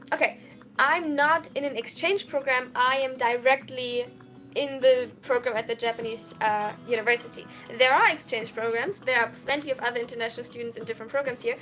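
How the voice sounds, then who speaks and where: A woman's voice: fairly high pitch, fairly bright tone. A woman of twenty-one chatting casually on a livestream, answering viewer questions in a giggly way full of "like" and "um". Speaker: streamer and college student.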